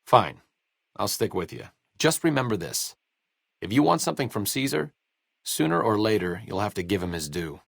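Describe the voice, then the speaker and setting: A bookish, self-deprecating video game doctor, speaks in a low, closely recorded voice. His delivery is sardonic and erudite, rising and falling freely as he makes his dry understatements. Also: big pitch swings, moderate pace, gravelly, no room sound, full character voice. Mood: resigned, cautioning. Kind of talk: video game character dialogue.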